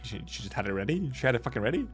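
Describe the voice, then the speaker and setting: A man's voice: mid-range voice, sing-song, rapid-fire, faint music behind. A young man streaming himself reading a game story, making casual, jokey asides and swearing now and then.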